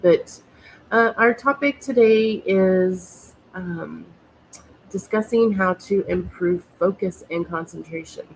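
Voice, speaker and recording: A woman host with a very low, gravelly voice, stuffed up from a cold. She talks haltingly, leaning on fillers, over a faint background hiss. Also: low-quality recording. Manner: halting, lots of fillers and pauses. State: has a cold, snuffly. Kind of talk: casual chat.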